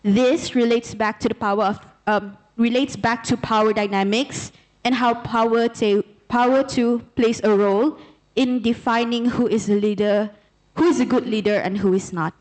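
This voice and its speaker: A woman's voice: fairly high pitch, steady tone, normal speed, a little mellow, rough recording. A woman in her twenties, a student putting an articulate, formal question earnestly from a conference audience. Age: 20s.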